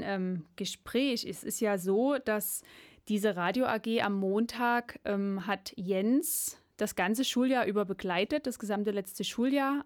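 Female radio presenter, mid-range voice, hosting a studio show with interview segments. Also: mid-range voice. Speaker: radio host.

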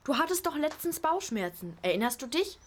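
A woman's voice: high voice, big pitch swings, a little fast. A female performer playing a sensible, bossy schoolgirl who explains and instructs her siblings in a children's radio play. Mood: planning.

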